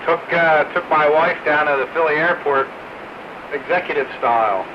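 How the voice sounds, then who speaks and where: A man with a fairly high voice chats casually over a dull radio connection. Noticeable static runs behind his talk, as on an operators' round table. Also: normal speed. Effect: two-way radio transmission. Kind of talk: casual chat. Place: radio transmission picked up by a receiver. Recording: muffled radio reception with clear static noise.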